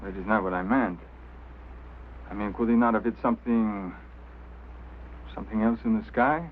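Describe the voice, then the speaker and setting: A man's voice: fairly low pitch, close to an everyday voice. Actor as French Canadian foreman, tinny old film. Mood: uneasy, wondering. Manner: halting, non-native phrasing. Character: stubborn, put-upon French Canadian road crew foreman. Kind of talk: mid-century screen drama acting. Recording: thin, noisy old film soundtrack.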